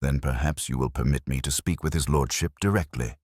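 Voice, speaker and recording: Storybook detective played by a male narrator: very low voice, implacable, deliberate, authoritative, dry close sound. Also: a little mellow, dry recording. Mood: probing, clipped.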